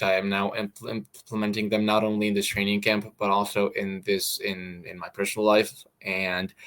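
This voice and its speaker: Halting young man with American accent. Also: on the low side, fairly bright tone. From Mexico. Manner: halting, repeats words often. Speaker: fighter guest. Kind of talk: casual interview.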